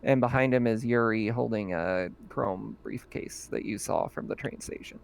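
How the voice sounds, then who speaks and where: A man narrating a tabletop roleplaying game as its game master, pausing often with fillers. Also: fairly low pitch, vocal fry, smooth. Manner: slow, halting narration with fillers and laughs.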